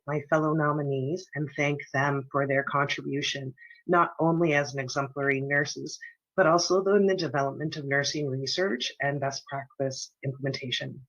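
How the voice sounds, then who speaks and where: A woman's voice: rumbling low, a bit bright, no room sound. Scratchy-voiced woman with a cold. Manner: humble, a little halting. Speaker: award recipient. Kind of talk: thank-you speech. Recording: dull lo-fi audio.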